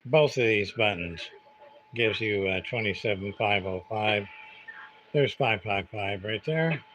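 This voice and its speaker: A man's voice: medium pitch, a bit bright, poor audio. A man hosting a casual livestream demonstration, thinking aloud in a hesitant, rambling, muttering way. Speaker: livestream host, CB radio repairer. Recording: clear background noise.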